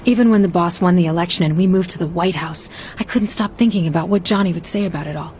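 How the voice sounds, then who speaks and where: A woman's voice: on the low side, fast, darkish tone, slight background noise, lightly acted. A woman acting a hardened, embittered gang lieutenant in a video game, blunt and jaded. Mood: bitter, wistful.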